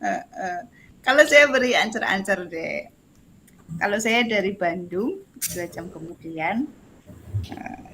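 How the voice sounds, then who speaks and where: A woman's voice: mid-range voice, a bit bright, slight background noise. A woman facilitating an online class in a casual, jokey, giggly and self-deprecating way. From Indonesia. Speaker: facilitator of an online teacher training forum. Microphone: video call.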